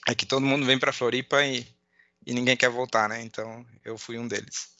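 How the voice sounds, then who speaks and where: A middle-aged man with a southern Brazilian border accent touched by Uruguayan, giving an informal online presentation in a halting way, repeating words and checking in often. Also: mid-range voice, steady tone, bright, forceful tone, dry recording, lo-fi sound. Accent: southern Brazilian border accent with Uruguayan influence. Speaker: conference speaker, accountant and data analyst. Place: at home, indoors.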